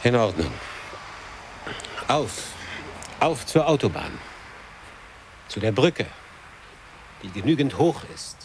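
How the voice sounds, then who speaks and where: An actor playing a resigned, forlorn old man in a radio drama, gentle and laconic, speaking in short fragments. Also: medium pitch, sing-song, slow, pauses often, a little hiss or hum. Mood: weary. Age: older.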